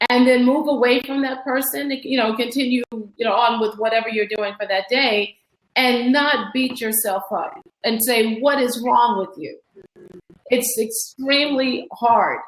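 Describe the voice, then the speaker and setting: Chatty fifties woman, echoey call audio. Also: on the high side. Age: 50s. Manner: chatty and rambling, with frequent restarts and laughs. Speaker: author guest. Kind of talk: casual interview conversation. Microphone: online video call.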